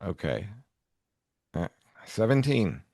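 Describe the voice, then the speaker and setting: Man, lowish voice, casual podcast chat, very dry close recording. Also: very animated, slow pace, gravelly, darkish tone, dry recording. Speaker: podcast co-host or guest.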